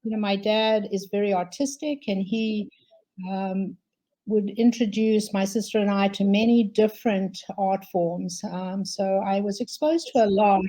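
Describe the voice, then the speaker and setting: An older woman, a quilt designer, answering interview questions thoughtfully with frequent pauses and ums over a video call. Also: medium pitch, dark tone, poor audio. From South Africa.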